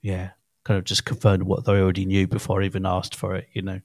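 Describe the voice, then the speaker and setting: Chatty low-voiced man in his fifties. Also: completely flat delivery, quick pace, gravelly, darkish tone, no room sound, studio quality. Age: 50s. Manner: rambling, chatty, restarts often. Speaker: podcast co-host. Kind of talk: casual chat.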